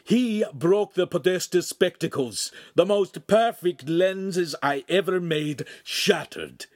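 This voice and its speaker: Guy playing a gruff angry old-world craftsman, barks a lot, dry close sound. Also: medium pitch, big pitch swings, normal speed, no echo, voice pushed up. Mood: furious. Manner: gruff, shouting. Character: hot-tempered burly master spectacle maker.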